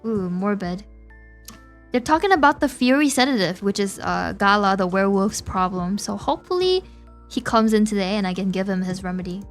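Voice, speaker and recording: A young woman streaming a game, chatting to viewers in an excitable, giggly, talkative way. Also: medium pitch, fairly quick, soft background music. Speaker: VTuber streamer. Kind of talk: casual game-stream commentary.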